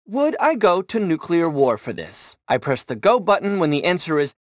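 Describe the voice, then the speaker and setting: An actor playing a self-important, intense tech tycoon leading missions in a video game, pompous, emphatic and humorless. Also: male voice, on the high side, very animated, moderate pace, no room sound, studio quality. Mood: indignant.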